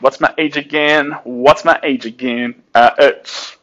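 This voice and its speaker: A young man, yarning to viewers while he paints. He talks slowly and chattily at a middling pitch. The sound is dull and a little lo-fi. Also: fairly even intonation, fairly bright tone. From New Zealand. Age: late 20s. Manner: chatty and upbeat, sings snatches of songs and talks to viewers. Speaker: artist streaming while painting. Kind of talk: casual live-stream chat while painting.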